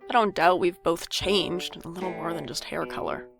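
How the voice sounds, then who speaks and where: A woman's voice: lowish voice, moderate pace, creaky, loud music behind the voice. A woman playing an anxious, sardonic older sibling in an audio drama, with a dry, wry, self-deprecating way of talking. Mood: wry, uneasy. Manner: dry, wry, theatrical sarcasm. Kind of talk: audio drama acting with first-person narration.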